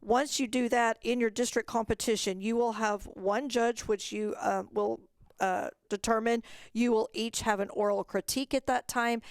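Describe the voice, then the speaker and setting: A woman's voice: mid-range voice, smooth, no room sound, clean studio sound. A woman presenting in a webinar as an organizer, explaining procedures informally, step by step.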